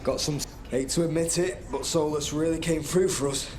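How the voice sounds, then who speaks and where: A man's voice: fairly high pitch, fairly even intonation, fairly quick, a little mellow, lightly acted. Blunt resistance fighter played by a man, faint noise. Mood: determined.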